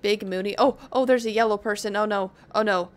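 A woman's voice: mid-range voice, fairly even intonation, a little fast. A young woman streaming a game with a friend over a headset, chatting playfully and swearing when things go wrong. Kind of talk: casual gaming chat with a friend. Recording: faint background noise.

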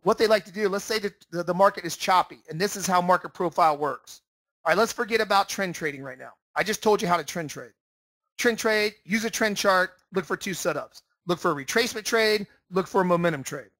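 A man's voice: high-pitched, fairly quick, bright, forceful tone, no room sound. A man instructing in an informal screen-share lesson, explaining emphatically and repeating himself for stress. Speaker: trading room instructor. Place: indoors, voice-over for screen share.

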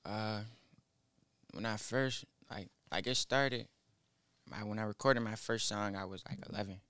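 A nineteen-year-old man, a rapper guest in a small studio, speaking haltingly into a boom mic with many fillers. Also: a bit bright, clean tone, dry recording. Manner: halting, mumbled, full of fillers. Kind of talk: casual interview. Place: small studio with foam wall. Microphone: studio mic on boom arm, headphones.

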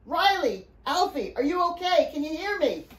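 A woman's voice: high voice, lively intonation, a little fast, voice pushed up. A woman reading a storybook as a commanding father who barks urgent orders in danger. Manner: shouted orders. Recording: roomy, echoing space.

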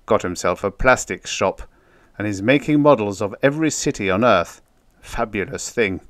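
A man's voice: lowish voice, expressive, moderate pace, no echo, lightly acted. A man voicing an earnest, well-meaning spaceman who is insistent and plain-spoken, in a story reading.

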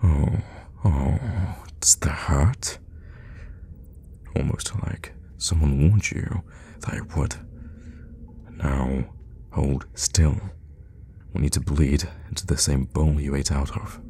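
A man voicing a sadistic, teasing male vampire captor in a hushed, intimate ASMR roleplay, with a deep, gravelly half-whisper. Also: fairly even intonation, heavily acted. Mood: menacing, impatient. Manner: slow, hushed, menacingly tender with pet names. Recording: long reverb, faint background noise.

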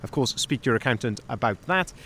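A man's voice: mid-range voice, animated, quick pace, sharp tone, a little hiss or hum. A young man, a sales manager, giving a detailed walkaround of a van for sale outdoors on a cold lot, recorded on a handheld camera. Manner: upbeat, detailed, pointing things out as he walks. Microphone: handheld camera, first-person view.

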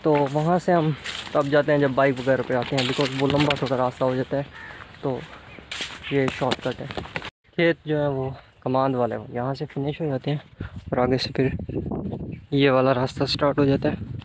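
A young man in his twenties talks casually to his phone camera while walking through open country, explaining each step in a plain, steady voice that hardly rises or falls. His outdoor phone recording carries clear background noise. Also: mid-range voice, moderate pace, long pauses. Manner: casual and explanatory, mixes English words into his talk. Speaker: vlogger. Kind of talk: casual walking vlog narration. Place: outdoors among sugarcane fields and dirt paths. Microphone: phone camera held at arm's length.

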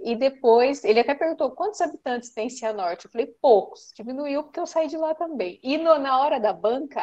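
Bright-pitched woman host, lively. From Paraná, Brazil. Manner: lively storyteller, laughs easily. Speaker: host, society director. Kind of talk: video-call interview. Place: home room with shelves. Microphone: wired earbuds on video call. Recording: muffled video-call audio.

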